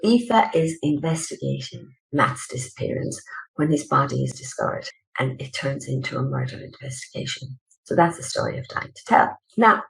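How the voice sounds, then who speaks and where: A woman's voice: deep voice, animated, normal speed, creaky, rough recording. A woman with an Irish accent, an author talking casually and chattily about her thriller books and explaining their plots. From Ireland. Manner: chatty, explaining plot step by step.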